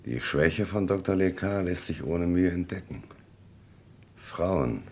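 Probing radio-drama investigator, very deep, gravelly, lively intonation, deliberate questioning. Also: male voice, exaggerated voice. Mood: inquiring. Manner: questioning, hesitant pauses, then pointed. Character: probing, deliberate male police investigator in crime mystery. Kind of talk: German radio crime drama acting.

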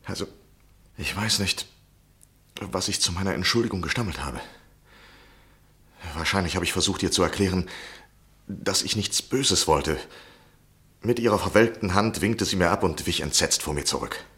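Deep-voiced, anxious gentleman. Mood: rueful. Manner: deliberate, literary, confessional. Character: anxious, insistent gentleman journalist recounting his obsession. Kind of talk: 1960s German radio play acting and narration.

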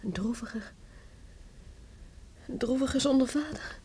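A woman's voice: high voice, unhurried, darkish tone, husky, exaggerated voice. An actress playing an anxious, tender-hearted young village woman in a radio play, with an emotional, pleading manner. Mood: worried, hushed. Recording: faint noise and clicks, old radio recording.

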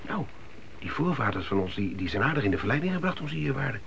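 An actor playing a chatty, scornful young emigrant son in a radio drama, speaking colloquially with repeated words. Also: male voice, mid-range voice, sing-song, quick pace, creaky, fairly bright tone. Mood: eager storytelling. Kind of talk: naturalistic radio drama dialogue. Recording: hissy old radio play recording.

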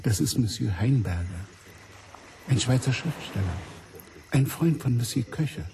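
An actor playing a discreet, eager French hotel waiter in a radio drama, polite and deferential, with a French accent. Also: male voice, medium pitch, lively intonation, measured pace, creaky, background noise. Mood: obliging, polite.

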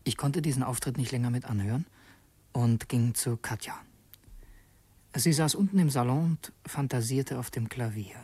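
A man's voice: medium pitch, normal speed, dark tone, slightly rough, lightly acted. An actor playing an earnest revolutionary who narrates his secret mission in a literary radio drama, introspective and brooding. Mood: reflective narration.

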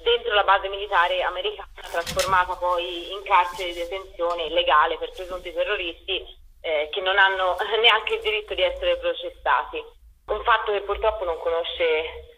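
A woman's voice: lowish voice, steady tone, moderate pace, bright, forceful tone, rough recording. A woman speaking as a theatre director in a radio interview, explaining her work over a phone-style line.

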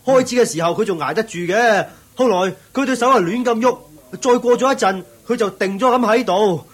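A fretful young disciple in a Hong Kong Cantonese radio drama. His high, slightly rough voice reports anxiously and deferentially to his master. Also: fairly quick. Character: anxious junior ascetic disciple, naive and deferential. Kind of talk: Buddhist radio drama acting.

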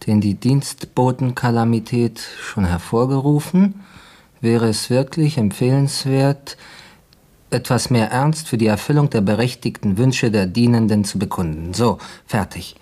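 An actor playing an earnest, courteous male newspaper editor in a German radio play, with formal, measured phrasing. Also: mid-range voice, dark tone, lightly acted.

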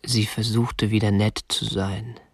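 A man's voice: fairly low pitch, little pitch movement, a little slow, gravelly, a little hiss or hum. An actor playing a prim, unremarkable man in his twenties, a captor narrating matter-of-factly in a radio drama. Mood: stiff, matter-of-fact. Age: 20s. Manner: matter-of-fact, stiffly proper. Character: prim, unremarkable 27-year-old male captor, petty-bourgeois butterfly collector. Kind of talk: first-person narration and dialogue in a radio drama. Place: dry studio.

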